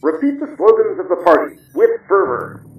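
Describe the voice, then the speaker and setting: An actor playing a stern, menacing telescreen voice in a radio drama, barking orders like an interrogator. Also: male voice, high-pitched, big pitch swings, moderate pace, muffled recording. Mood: barking, commanding. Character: menacing, commanding telescreen voice of the Thought Police. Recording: echoey distant radio-like sound, music underneath.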